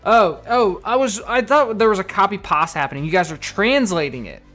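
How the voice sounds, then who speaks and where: A man's voice: high voice, lively intonation, brisk, a bit bright, faint music behind. A man chatting casually on a live stream, reading viewer donations and comments aloud. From United States. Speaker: card collector streamer.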